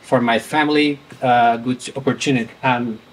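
A man's voice: medium pitch, steady tone, a little hiss or hum, low-quality recording. A young man from Brazil with a Brazilian Portuguese accent, presenting on a conference panel in a hesitant, word-searching way, in English that is not his first language. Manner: halting, searching for words. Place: conference room with panel table.

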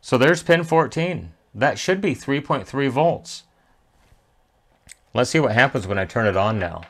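A man's voice: mid-range voice, lively intonation, unhurried. A man hosting a hands-on repair tutorial, talking methodically step by step and thinking aloud as he works. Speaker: repair channel host. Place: indoor electronics workbench.

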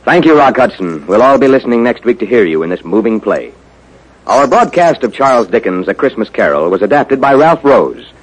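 A man with an American accent, a polished, formal 1950s radio announcer delivering sponsor pitches. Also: big pitch swings, fairly quick, slight background noise, poor audio. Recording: vintage 1950s radio broadcast.

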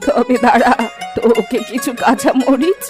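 A woman's voice: fairly high pitch, a little slow, close to an everyday voice. A woman voicing a chatty old village granny in a cartoon. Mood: chatty, kindly. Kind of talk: cartoon dialogue. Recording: background music and crowd bustle.

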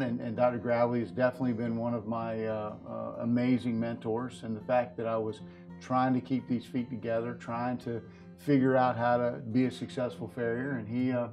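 A middle-aged American man, a guest farrier, explaining things earnestly in a wordy, halting way in an interview. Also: medium pitch, darkish tone. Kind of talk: explanatory interview talk about horse nutrition products. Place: indoor video set at a display table.

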